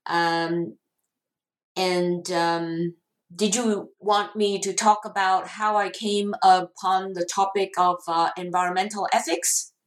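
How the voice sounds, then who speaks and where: A woman from Taiwan, a professor, answering interview questions slowly with many pauses and fillers. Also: lowish voice, little pitch movement, roomy sound, poor audio. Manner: slow, hesitant, many fillers. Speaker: guest professor.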